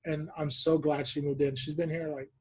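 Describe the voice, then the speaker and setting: A man hosting a podcast over a video call, telling stories in a relaxed chat and laughing often. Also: on the high side, normal speed, slightly rough. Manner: laughs often, animated storytelling. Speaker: podcast host. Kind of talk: casual chat.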